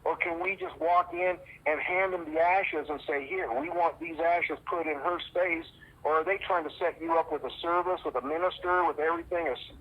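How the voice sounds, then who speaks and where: A middle-aged man chatting casually over a recorded telephone call, halting and tentative in how he talks. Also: on the high side, fairly even intonation. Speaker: caller in a personal phone conversation. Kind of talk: casual private phone chat. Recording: dull lo-fi phone call recording.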